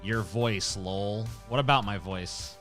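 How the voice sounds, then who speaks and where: Guy streamer rambling and swearing while reading chat, bright punchy voice, faint music behind. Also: fairly low pitch, slow. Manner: profane, rambling, reads chat comments aloud. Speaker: livestream host. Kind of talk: casual livestream chat.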